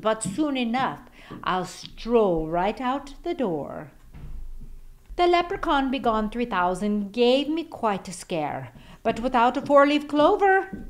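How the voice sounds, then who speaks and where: A woman voicing a cocky, mischievous little old leprechaun who taunts in singsong storybook rhymes. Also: fairly high pitch. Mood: gleeful, boastful.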